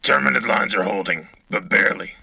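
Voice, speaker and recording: Guy doing a gruff tired army radio reporter, super gravelly, bone dry studio sound, grim and clipped. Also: fairly low pitch, fairly quick, bright, forceful tone, dry recording, full character voice. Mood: grim resolve. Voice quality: gravelly, raspy. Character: Bulldog, gruff weary war correspondent on military radio. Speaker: radio co-host. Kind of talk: wartime radio news acting.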